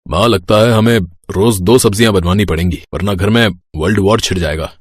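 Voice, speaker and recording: A man's voice: on the low side, a little fast, no echo, studio quality, close to an everyday voice. A man voicing an easygoing, wry adult son in a scripted family moral story. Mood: wry, casual. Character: easygoing adult son joking about household quarrels.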